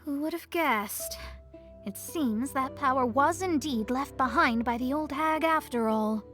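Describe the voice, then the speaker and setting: A woman's voice: high-pitched, a little slow, a bit bright, faint music behind. An actress voicing a theatrical young woman in a video game, speaking in a lofty, dramatic way.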